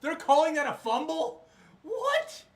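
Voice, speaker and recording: A man's voice: very high-pitched, sing-song, a little slow. A man joining as co-commentator in casual chat about a football game, reacting with disbelief to calls.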